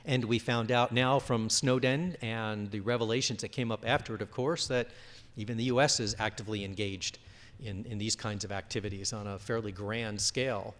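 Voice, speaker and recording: A man's voice: lowish voice, steady tone, moderate pace, a bit bright. A man giving a conference presentation and fielding audience questions. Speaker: conference speaker. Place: large conference room. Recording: reverberant hall.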